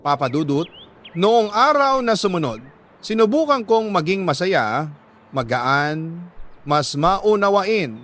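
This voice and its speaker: A man reading a dramatic scripted story in a solemn, measured way, pausing for emphasis. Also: a little high, fairly bright tone. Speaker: narrator reading a listener's letter.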